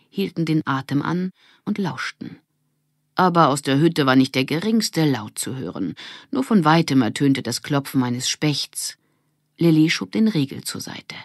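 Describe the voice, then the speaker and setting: A woman narrating a children's audiobook in an expressive storytelling manner. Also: deep voice, dry recording, studio quality.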